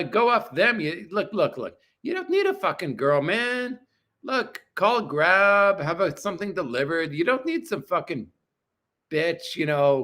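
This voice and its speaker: A man in his forties talks casually on a livestream from his apartment, answering viewers' comments. His voice is a little high and bright, with a forceful edge. He is crude and blunt, with plenty of swearing. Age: 40s. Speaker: livestream host.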